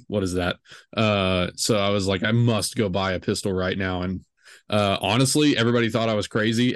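Young man, podcast host, low voice, dry sound, rambling, joking. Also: no room sound. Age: 20s. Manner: rambling, joking, lots of fillers. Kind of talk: casual podcast chat.

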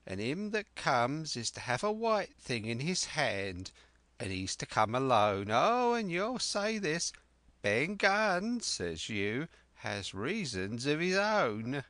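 A man voicing a half-wild old male castaway who speaks in a rough sailor's dialect, eager and cunning. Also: mid-range voice, very animated, takes it slowly, fairly bright tone, no room sound, voice pushed up. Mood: earnest.

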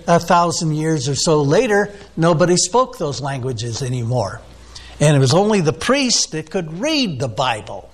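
Man preaching a sermon, many fillers. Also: a little high. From United States. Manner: unscripted teaching with frequent fillers, asks the listeners questions. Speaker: pastor.

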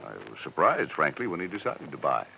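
Evasive, easily affronted middle-aged male broker, very deep, 1950s radio drama, noisy old recording. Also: big pitch swings, brisk, creaky, highs cut off, exaggerated voice. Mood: guarded, casual. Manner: guarded, hesitant, evasive.

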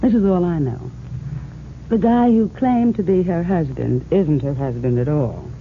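Cool, wary young dame, blunt, 1940s radio crime play, tinny with light hiss. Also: female voice, fairly low pitch, measured pace. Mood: guarded, insistent. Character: tough, guarded young dame with a tip. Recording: tinny, hissy old radio recording.

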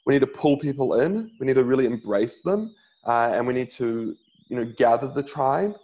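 A man in his thirties from New Zealand, a rabbi telling his life story as an interview guest in an earnest way, often pausing on uh. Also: mid-range voice, lively intonation, a little mellow, dull audio. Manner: earnest, many filler uhs, restarts.

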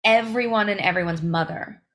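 A woman's voice: medium pitch, normal speed, fairly bright tone. A woman singer as a podcast guest, talking in emphatic bursts full of fillers and restarts. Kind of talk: casual interview chat.

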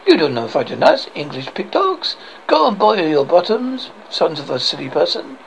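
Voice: medium pitch, very animated, normal speed, creaky, a little hiss or hum, poor audio. A reader putting on a taunting French castle guard. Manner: mocking, contemptuous.

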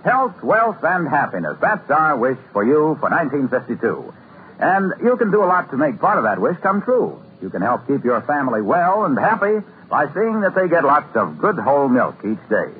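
A man's voice: on the high side, very animated, moderate pace, slight background noise. An American man announcing in a 1950s radio style, reading ad copy with polished, emphatic salesmanship on a tinny old recording.

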